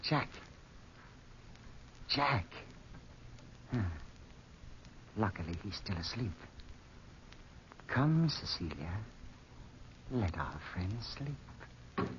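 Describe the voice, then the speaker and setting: A man's voice: on the low side, very animated, very slow, pauses often, a bit bright, exaggerated voice. A man playing a fat, sinister, falsely friendly villain in 1940s radio style, savoring his words unctuously. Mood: quietly sinister. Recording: muffled, noisy 1940s radio recording.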